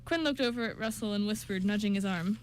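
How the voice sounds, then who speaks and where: A woman's voice: medium pitch, quick pace, a little hiss or hum. A woman reading scripted story narration for an amateur radio show, with an occasional stumble.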